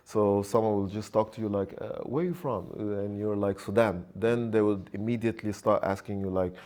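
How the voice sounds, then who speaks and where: A young man raised in Lebanon talks as a podcast guest, his voice sitting a little low. He tells his story casually and hesitantly, with lots of "like" and "uh" between thoughts. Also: fairly even intonation, normal speed, darkish tone. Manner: hesitant, many fillers like "like" and "uh".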